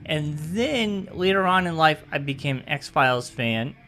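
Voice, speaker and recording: A man's voice: on the high side, animated, measured pace, bright, forceful tone. Easygoing man in his thirties hosting a podcast. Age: 30s. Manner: easygoing, rambling, hedges with "I guess". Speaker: podcast host. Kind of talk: casual podcast chat.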